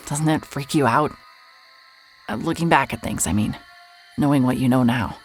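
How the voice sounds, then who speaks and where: A woman's voice: extremely low pitch, moderate pace, faint music behind. An actress playing a hard-hearted, sardonic woman private detective in an audio drama, dry and pressing. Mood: probing, skeptical. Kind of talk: cinematic audio drama acting with first-person noir narration.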